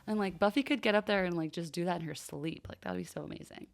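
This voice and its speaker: A woman's voice: fairly low pitch, quick pace, vocal fry. Enthusiastic woman chatting casually. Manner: uses "like" constantly, enthusiastic. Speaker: podcast co-host. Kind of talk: casual TV recap chat.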